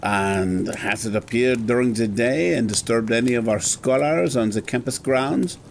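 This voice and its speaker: A man's voice: mid-range voice, normal speed, gravelly, a little hiss or hum, lightly acted. An actor playing a stern, haughty male head of an academy in an audio drama, speaking formally. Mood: stern, dismissive. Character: pompous, evasive, imperious scholar in charge of an academy.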